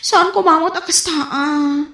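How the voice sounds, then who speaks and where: A woman's voice: extremely high pitch, fairly even intonation, slow pace, pushed and bright, exaggerated voice. A woman playing a gullible, defensive wife who pleads in an Ilocano accent in a radio comedy drama. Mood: apologetic. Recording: roomy, echoing sound.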